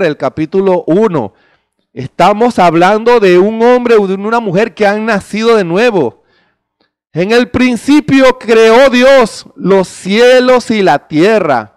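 A man's voice: sits high, expressive, measured pace, clear, resonant tone, no room sound. A middle-aged man preaching a sermon into a handheld mic on a small indoor stage, emphatic and full of rhetorical questions.

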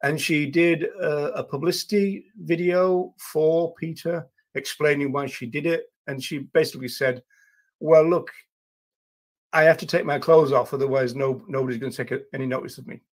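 An older man hosting a casual livestream, talking to viewers in a hesitant, halting way with drawn-out pauses. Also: fairly high pitch, fairly even intonation. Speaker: livestream host. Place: small indoor room at a desk.

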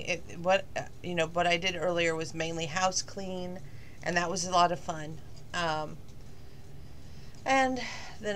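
A middle-aged woman, slightly hoarse from losing her voice, rambling casually in a talking-to-camera vlog with lots of fillers. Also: lowish voice, fairly bright tone, a little hiss or hum. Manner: rambling, chatty, with many fillers. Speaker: vlogger.

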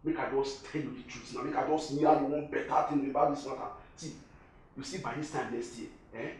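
An actor playing a persuasive, hot-headed Nigerian hustler in a film drama, emphatic and repeating himself for force. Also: male voice, mid-range voice, steady tone, brisk, a little mellow, close to an everyday voice. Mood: smooth-talking. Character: hustling Nigerian man, persuasive, quick to threaten. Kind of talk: film drama acting in Nigerian Pidgin and English. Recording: roomy echo, music underneath.

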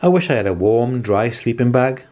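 A man's voice: mid-range voice, lively intonation, moderate pace, a little mellow, close to an everyday voice. Guy doing a sad little storybook fox, plaintive, bit lifted from his usual voice. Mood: tearful, sad. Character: forlorn fox camper in need of help.

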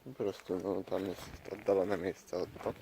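Low-voiced man vlogging in noisy outdoors. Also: big pitch swings, normal speed, vocal fry, dark tone. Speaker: travel vlogger. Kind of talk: casual vlog chat, unscripted. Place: outdoors by a lake. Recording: noisy outdoor recording with clicks.

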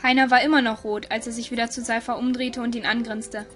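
A woman's voice: a little high, little pitch movement, fast, fairly bright tone. A woman narrator reading a scripted audio story. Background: music.

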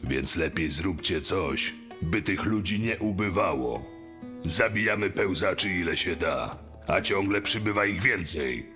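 A sharp, low-voiced mine boss played by a man from an audio drama. He is exasperated and mocking, pressing his complaints forcefully over faint music. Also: expressive, a little slow, exaggerated voice. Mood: defiant, exasperated.

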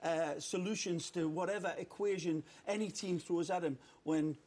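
A man's voice: sits high, fairly even intonation, moderate pace, a little mellow. An older man talking emphatically as a football pundit in a studio panel discussion, often repeating his phrases. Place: television studio.

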